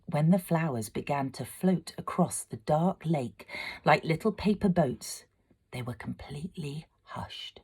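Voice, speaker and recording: A woman narrating a children's storybook aloud, with expressive, dramatic delivery. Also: low voice, husky. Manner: expressive, dramatic, swelling for excitement and hushing for suspense. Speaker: amateur storyteller.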